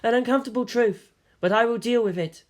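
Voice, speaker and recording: A woman's voice: a little high, fairly quick, darkish tone, pitched above the performer's own voice, natural voice. A woman voicing a cold, fierce young female commander who talks in a curt, impatient, commanding way.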